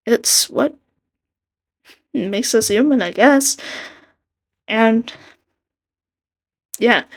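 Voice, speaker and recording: A soft-spoken young woman with a quiet voice, giving earnest casual commentary and laughing between thoughts. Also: medium pitch. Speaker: art commentary video maker. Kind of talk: casual commentary over a speedpaint.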